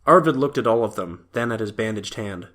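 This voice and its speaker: A man's voice: fairly low pitch, animated, brisk, a bit bright. A man reading scripted story narration for an audio drama.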